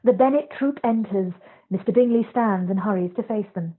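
A woman reading scripted stage directions as narrator of a drama, with a husky voice. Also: medium pitch, moderate pace, slight background noise. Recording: dull, lo-fi video-call audio.

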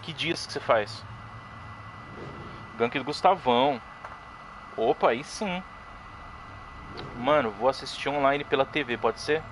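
A man's voice: medium pitch, unhurried, long pauses, background noise. Chatty Brazilian streamer man. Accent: Brazilian Portuguese. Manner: chatty, thanks followers, sudden excited yelps. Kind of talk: casual live game commentary.

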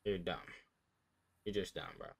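A man's voice: sits low, fairly even intonation, moderate pace. An eighteen-year-old man from Texas chatting with strangers on a video chat site, teasing in blunt, crude slang. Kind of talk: casual trolling banter. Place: bedroom at a computer.

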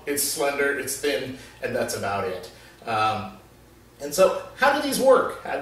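A middle-aged man, an animal behaviorist, explains things patiently and steadily, often pausing on "uh". His voice rings around a very reverberant space. He sits a little back from the microphone. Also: mid-range voice, fairly bright tone. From Florida Keys, USA. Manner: measured, explanatory, with frequent "uh" fillers. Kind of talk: explanatory video lesson. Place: covered porch with wooden wall. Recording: very echoey, slightly distant.

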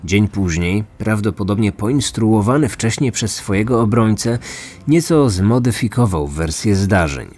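A man narrating a scripted true crime story as podcast host, in a measured, serious manner. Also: lowish voice, expressive, darkish tone, husky. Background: background music.

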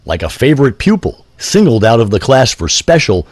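A man reading a scripted story in a literary, deliberate storytelling manner. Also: medium pitch, sing-song, husky, no room sound.

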